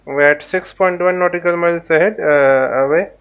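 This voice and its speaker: Higher-pitched man, muffled mic. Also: clean tone. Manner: halting, explanatory, thinking aloud. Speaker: flight simulator instructor. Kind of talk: informal tutorial commentary while flying a simulator.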